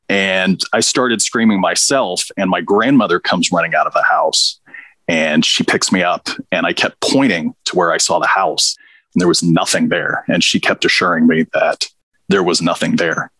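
A man's voice: low-pitched, sharp tone, dry recording. A man from Pakistan, a podcast guest, telling stories in a reflective way with dry humor. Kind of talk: relaxed interview conversation.

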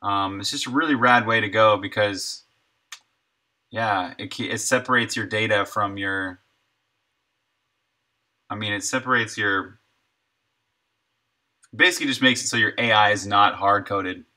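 A man's voice: on the low side, pushed and bright. A middle-aged man thinking aloud in short, laid-back fragments as he live-streams his coding work. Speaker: game developer and live streamer. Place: indoor room at a desk, streaming while coding.

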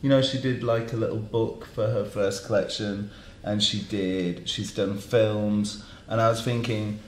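A man with a lowish voice chairs a fashion panel in a dark studio, circling his points with repeated words and false starts. The room rings with echo and a faint hiss sits under him. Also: steady tone, measured pace. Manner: rambling, many restarts. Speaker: panel host, fashion journalist. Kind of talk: panel discussion. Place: dark studio around a table.

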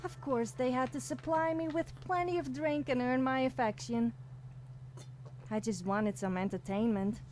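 A woman's voice: high voice, normal speed, a little mellow, close to an everyday voice. A woman voicing a mischievous, boastful young warrior-mage companion in a video game, wry and self-satisfied. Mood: amused bragging. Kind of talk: scripted video game dialogue.

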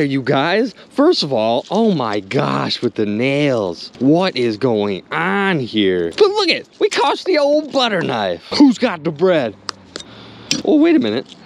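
Male outdoor vlogger, animated and chatty, faint outdoor hiss. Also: medium pitch. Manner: excitable, chatty, keeps addressing viewers as "you guys". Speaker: magnet fishing vlogger. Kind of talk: excited outdoor vlog commentary. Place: outdoors by a river.

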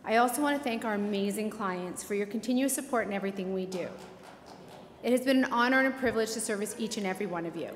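A woman's voice: medium pitch, fast, a little hiss or hum. A woman in her forties who owns a clinic, giving an earnest, grateful acceptance speech at a podium in a large banquet hall. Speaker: award winner, clinic owner. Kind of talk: acceptance speech and red-carpet interview. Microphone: podium microphones. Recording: echoey banquet hall with music.

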